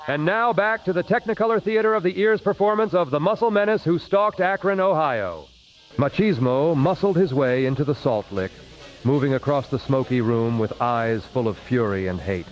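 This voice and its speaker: A man's voice: a little high, animated, moderate pace. A man hosting and narrating a parody radio drama in a theatrical old-time announcer style. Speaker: host and narrator. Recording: muffled old-radio sound with music.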